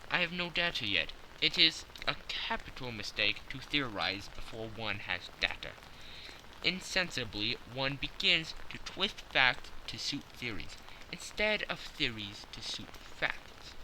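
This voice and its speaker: A man voicing a brisk, sharp-minded Victorian detective who lectures with crisp self-assurance in an audiobook. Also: medium pitch, big pitch swings, noisy background. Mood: lecturing. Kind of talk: audiobook character reading.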